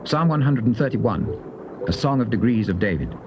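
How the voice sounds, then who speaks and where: A man making formal scripted announcements of titles in a measured, matter-of-fact way. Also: mid-range voice, expressive, highs cut off, music in the background.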